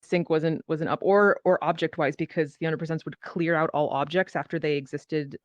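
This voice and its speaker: A woman's voice: low-pitched, fairly even intonation, brisk, dry recording. Casual woman panelist, slightly muffled audio. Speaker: panelist, VR theatre performer and show host. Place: online VR voice chat. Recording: dull lo-fi voice chat audio.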